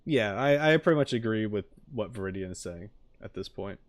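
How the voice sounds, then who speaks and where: A man's voice: on the low side, lively intonation, brisk. A man chatting casually to his stream viewers as he reacts to game videos, informal, joking and blunt.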